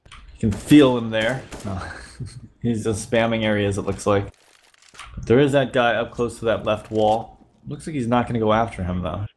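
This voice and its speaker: A man's voice: fairly low pitch, normal speed, a little hiss or hum. A sick man with a slightly nasal voice, casually narrating gameplay footage as a channel host. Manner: relaxed play-by-play narration. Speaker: YouTube host reviewing gameplay.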